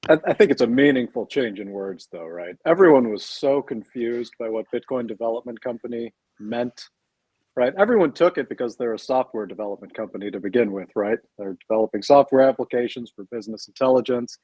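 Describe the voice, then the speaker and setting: A man's voice: medium pitch, expressive, fairly quick, darkish tone, husky, dry recording, poor audio. A man speaking as a panel guest in a casual discussion, explaining steadily and tagging his points with questions. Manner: explanatory, keeps adding tag questions.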